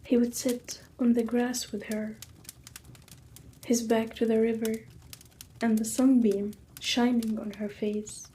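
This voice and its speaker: A woman narrating a scripted ASMR story in a soft, hushed manner with drawn-out phrasing. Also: fairly high pitch, little pitch movement, a little hiss or hum, poor audio.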